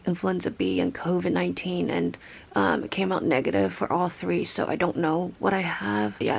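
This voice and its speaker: A woman who is sick and hoarse with a scratchy throat, vlogging quietly from her room at home and often dropping to a whisper. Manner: quiet and low-key, slipping into whispers.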